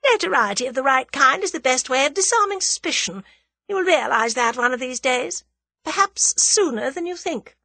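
A woman's voice: high-pitched, expressive, normal speed, vocal fry, sharp tone, no echo, voice pushed up, full character voice. A woman voicing a scornful, worldly female schemer in an audiobook, with a haughty, airy, taunting delivery and cool amusement. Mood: mocking amusement. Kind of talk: dramatised audiobook character dialogue.

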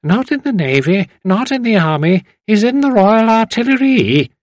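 A very old lady from a storybook, put on by a male narrator, chanting old ditties in a high, thin quaver. The delivery is sing-song and frail, recorded close and dry. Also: sing-song, moderate pace, no room sound. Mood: chanting, cheeky. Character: very old woman, thin-voiced, singing old music-hall ditties.

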